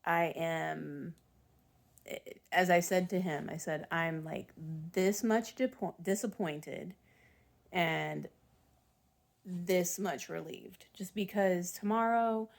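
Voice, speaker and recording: Raspy, gravelly-voiced woman, forties, family vlogger, chatty and self-deprecating. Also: on the low side, slow pace. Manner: chatty, rambling, self-deprecating with small laughs. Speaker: vlogger mom. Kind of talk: rambling casual vlog chat.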